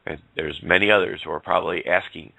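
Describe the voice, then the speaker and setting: A male host of a casual call-in talk show, rambling in a folksy way and getting hoarse. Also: low voice, fairly even intonation, fast talker, pushed and bright, no room sound, rough recording. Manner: rambling, folksy, laughs often.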